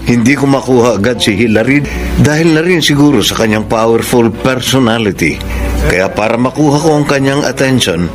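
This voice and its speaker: A man's voice: mid-range voice, normal speed, over music, lightly acted. An actor playing a smitten, mild young man from a well-off family in a Filipino radio drama, with a hesitant manner and some English mixed in. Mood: reflective, earnest. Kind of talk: radio drama acting, letter narration and dialogue.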